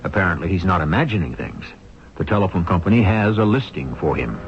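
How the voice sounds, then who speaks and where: A male host narrates an old radio mystery with suspense. His voice is low and swoops expressively, on a dull recording with some music behind it. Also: big pitch swings, slight background noise. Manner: suspenseful, deliberate. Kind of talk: dramatic scripted narration, 1970s radio mystery.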